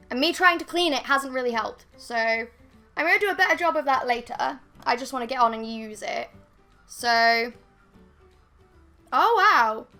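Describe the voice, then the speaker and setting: Casual beauty vlogger, twenties, high bright voice with a slight croak, rambling and self-deprecating. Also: female voice. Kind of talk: casual makeup review to camera. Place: bedroom with bookshelves, indoors. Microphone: fixed camera at desk.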